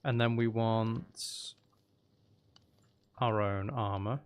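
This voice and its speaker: A man with a gravelly voice giving casual, rambling, sweary commentary as he thinks aloud while playing a video game. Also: lowish voice, steady tone, very slow. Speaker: gaming video host.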